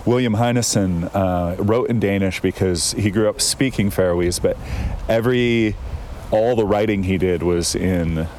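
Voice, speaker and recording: A man's voice: sits low, unhurried, darkish tone, a little hiss or hum. A man in casual conversation, telling stories thoughtfully, with frequent fillers and digressions. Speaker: guest. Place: outdoors in a town.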